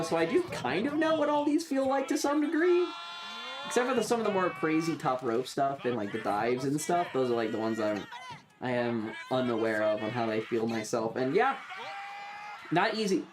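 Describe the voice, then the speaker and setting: A man streaming casual live reaction commentary, talking in an excitable, slangy and profane way. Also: mid-range voice.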